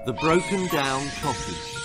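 A man's voice: a little high, animated. Older man reading a spooky tale over music. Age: older, sixties. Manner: measured, theatrical, suspenseful delivery. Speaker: author reading his own children's story. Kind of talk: dramatic storytelling, spooky children's tale narration. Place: book-lined room indoors.

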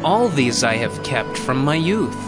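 An actor playing an earnest, formal rich ruler in a dramatized audio Bible. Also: male voice, medium pitch, very animated, measured pace. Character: rich ruler, earnest man seeking eternal life. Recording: very loud background music.

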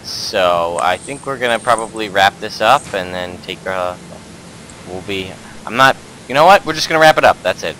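A man's voice: lowish voice, expressive, normal speed, a little hiss or hum, low-quality recording. A man hosting a casual group chat over gameplay, joking and teasing his co-hosts. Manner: joking, teasing, loud bursts. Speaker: show host.

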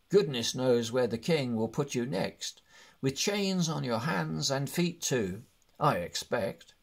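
A man acts out a small hobbit burglar for a storybook. He sits higher in pitch, with a slightly husky edge. He is brisk and bossy, put-upon and testy. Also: full character voice.

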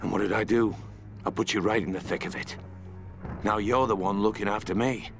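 An actor playing a weary, devoted older mentor, speaking earnest, tender, measured words in a video game letter. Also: male voice, fairly low pitch, fairly even intonation, gravelly, music in the background. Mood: tender, regretful.

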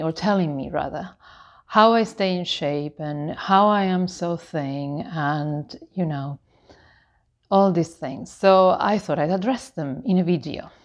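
A woman of about fifty chatting casually to camera as a lifestyle vlogger, in a halting, meandering, explanatory way. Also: on the low side. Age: 49, about to turn 50. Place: living room with tiled floor, indoors. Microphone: clip-on lapel microphone.

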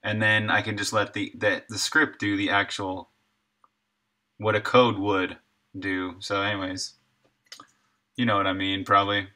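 A man's voice: fairly low pitch, little pitch movement, unhurried, pauses often, sharp tone. A middle-aged man thinking aloud in short, laid-back fragments as he live-streams his coding work. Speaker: game developer and live streamer. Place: indoor room at a desk, streaming while coding.

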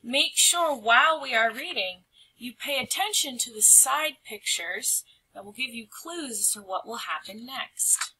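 Bright, animated woman reading a storybook. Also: fairly high pitch, measured pace, slight background noise, low-quality recording. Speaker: storybook reader. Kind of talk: expressive children's read-aloud narration.

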